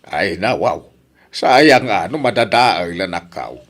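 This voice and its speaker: A man with an Ilocano accent playing an excitable, grumbling older man in a comedy radio drama. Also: low-pitched, slow, heavily acted. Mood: flustered.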